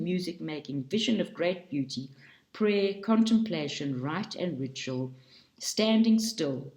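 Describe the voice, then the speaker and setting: A woman reading a book aloud for a study group, slowly and carefully, stopping often to correct herself. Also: low voice, darkish tone, roomy sound. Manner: slow, careful reading with frequent self-corrections.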